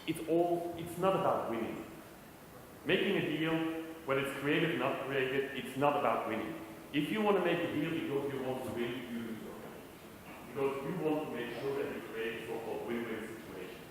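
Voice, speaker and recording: A middle-aged man addresses a conference crowd in a relaxed, conversational way, with a wry, self-deprecating tone. His voice sits at an ordinary pitch. It carries through a large echoey hall with a faint hum of room noise behind it. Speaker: conference speaker. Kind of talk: conference talk.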